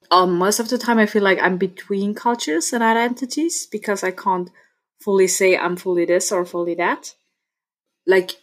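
Young woman, Austrian accent, German native speaker, casual interview, reflective, laughs often. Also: fairly low pitch. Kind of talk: casual conversation.

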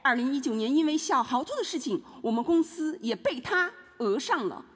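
Woman doing snooty accusing company boss, courtroom drama, very animated, hall reverb. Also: high voice, brisk. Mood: aggrieved, scornful. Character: aggrieved, scornful mining company boss in mock trial. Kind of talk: scripted audio drama.

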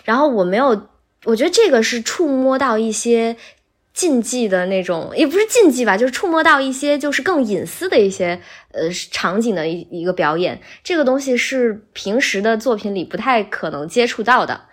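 A woman chatting casually as a podcast guest, explaining things in an animated way. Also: on the high side, normal speed.

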